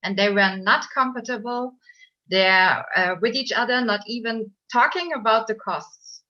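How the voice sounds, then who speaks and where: A woman's voice: on the high side, sharp tone, lo-fi sound. A woman from Germany giving enthusiastic remarks at a live online event, with some hesitant fillers. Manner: enthusiastic, slightly halting. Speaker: event participant giving feedback.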